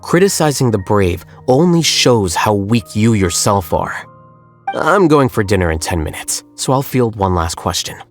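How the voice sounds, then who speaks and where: An actor playing an aloof, blunt young scholar who speaks in a dry, matter-of-fact way in a game cutscene. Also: male voice, on the low side, very animated, moderate pace, soft background music. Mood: cool.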